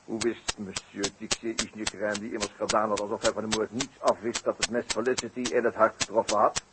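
A man's voice: on the low side, level tone, natural voice. Man acting wry radio detective, heavy crackling noise. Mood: mocking, accusing. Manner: wry, theatrical, deliberate questioning. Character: sly, mocking male French police detective in circus mystery. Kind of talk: 1960s Dutch radio play acting. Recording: very noisy, clicky 1960s radio recording.

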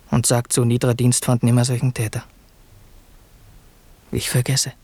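Lovestruck young prince, husky and lofty. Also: male voice, medium pitch, darkish tone. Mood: wistful. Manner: lofty, ornate declamation. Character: lovestruck young prince toiling as a humble servant, devoted. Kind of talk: classical radio drama verse acting.

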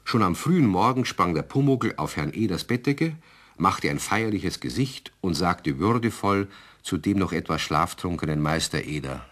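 A man's voice: low-pitched, expressive, normal speed, a bit bright. A man narrating a scripted children's radio play in storybook style. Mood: storytelling.

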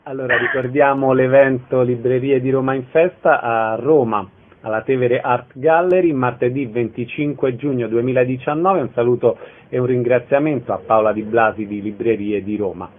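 A man's voice: medium pitch, fairly even intonation, normal speed. Guy hosting a radio interview show, mid voice, kinda lo-fi sound.

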